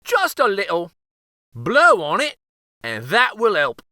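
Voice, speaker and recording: A high, wildly swooping old uncle from a children's storybook. He is a folksy jokester who teases everyone and says things twice over. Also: slow pace, dry recording, studio quality, heavily acted. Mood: bossy teasing. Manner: folksy, excitable, repeats himself. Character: teasing old uncle, a bearded jokester doctor.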